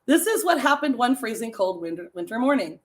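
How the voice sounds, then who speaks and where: A middle-aged woman with a raspy voice hosting a casual live stream, chatting with viewers and reading trivia and short stories aloud. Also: a little high, very animated, brisk. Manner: chatty, laughs often, reads facts and stories to viewers.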